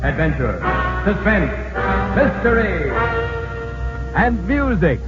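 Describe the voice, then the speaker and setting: A man's voice: high-pitched, sing-song, takes it slowly. An American man making showy, emphatic announcements for an old-time radio show. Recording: muffled old radio recording. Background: loud music.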